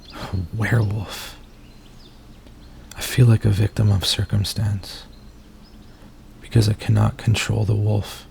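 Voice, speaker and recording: A man acting an anguished, pleading werewolf in human form for an ASMR roleplay, speaking in an intimate, halting, confessional way with a gravelly voice. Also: on the low side, warm tone, slight background noise, exaggerated voice.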